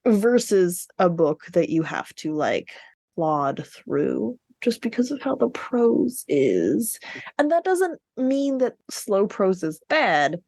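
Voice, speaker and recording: Quick-talking woman chatting casually about books. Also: mid-range voice, sing-song, a little mellow, dry recording. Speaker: podcast co-host discussing books. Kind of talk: casual book chat.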